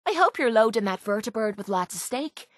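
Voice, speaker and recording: A woman's voice: fairly high pitch, lively intonation, a little fast, no room sound, studio quality, close to an everyday voice. A woman playing a tough, cocky young brawler in a video game, with an Irish accent and a blunt, sardonic manner. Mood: blunt, dry. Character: tough, brash, foul-mouthed young woman brawler and mercenary. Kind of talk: video game companion dialogue.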